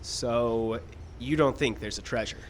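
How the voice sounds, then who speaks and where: A man plays an earnest, principled screenwriter in a live radio drama. He speaks at a middling pitch, halting and deliberate, with faint background noise. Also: lightly acted. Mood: hesitant, subdued. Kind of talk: live radio play acting. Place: live radio show in a park.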